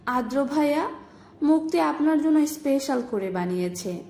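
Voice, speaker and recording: A woman's voice: high voice, expressive, normal speed, warm tone, faint music behind. A woman playing a playful young accomplice in an audio story drama. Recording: roomy, echoing sound.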